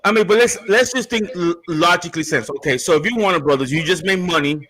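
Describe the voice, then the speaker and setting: A man from Puerto Rico hosting a casual online show, animated, repeating himself and restarting often. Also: on the high side, fast talker. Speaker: host.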